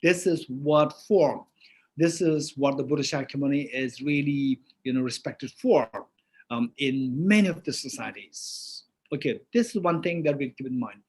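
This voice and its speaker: A man's voice: mid-range voice, normal speed, husky. A middle-aged man with as his gives a Buddhist teaching, asking himself questions and answering them, with many restarts. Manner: lively, rhetorical self-questioning with frequent restarts. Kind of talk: dharma teaching.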